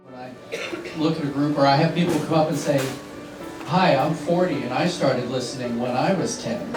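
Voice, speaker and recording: Man, casual interview, halting speech, distant roomy recording, loud music behind. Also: mid-range voice. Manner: halting, repeats words.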